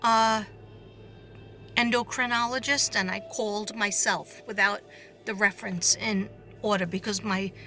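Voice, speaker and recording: A hoarse woman talking casually to her viewers in a rambling way, full of fillers and restarts. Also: medium pitch, fairly even intonation, a little slow, bright, forceful tone, faint music behind. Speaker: psychic reader.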